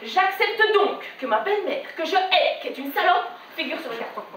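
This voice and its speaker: A woman's voice: very high voice, expressive, moderate pace, a bit bright, distant mic. A woman on stage playing an exasperated bride in a dramatic, emphatic theatrical monologue. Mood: spiteful. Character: exasperated bride recounting family wedding-invitation squabbles. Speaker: amateur theatre actress. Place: theatre stage at a dress rehearsal. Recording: echoey stage recorded from afar, faint noise.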